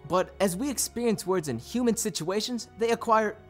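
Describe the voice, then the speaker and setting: A man's voice: sits high, moderate pace, fairly bright tone, faint music behind. A man giving a scripted, staged recitation as a readers theater performer.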